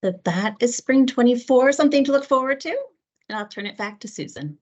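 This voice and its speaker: A woman finishing a book presentation and handing it off briskly. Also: on the high side. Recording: muffled dull audio.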